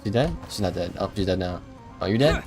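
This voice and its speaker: A man's voice: sits low, lively intonation, fast talker. A man doing casual livestream game commentary, rambling informally and a little hesitantly. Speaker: game streamer. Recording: dry close voice, faint game music.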